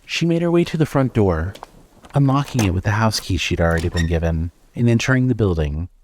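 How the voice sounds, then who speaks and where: Casting: male narrator for scripted horror audio fiction, somewhat low pitch, storytelling delivery. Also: animated, fairly quick, darkish tone, a little hiss or hum.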